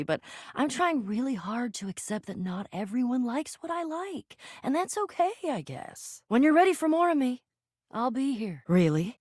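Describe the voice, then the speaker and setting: A woman's voice: a little high, no room sound, studio quality. A woman voicing a plucky, earnest and slightly insecure adventurer in a video game, mixing dramatic quest-speak with stammering asides. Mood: hurt, defensive, then earnest, persuasive. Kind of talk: animated video game character acting.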